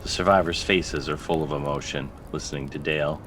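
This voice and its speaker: A man's voice: steady tone, brisk, music in the background. A man narrating scripted stage directions for a drama table read. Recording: video-call mic with background rumble.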